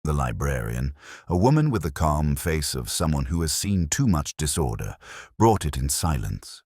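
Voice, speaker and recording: An extremely deep-voiced, brooding detective played by a man tells his case in the first person. The delivery is measured, reflective and somber, with melodic rises and falls, like an audio crime drama. The sound is dry and close. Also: big pitch swings, darkish tone, husky, no room sound, heavily acted. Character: brooding, melancholy English male police detective narrating his case.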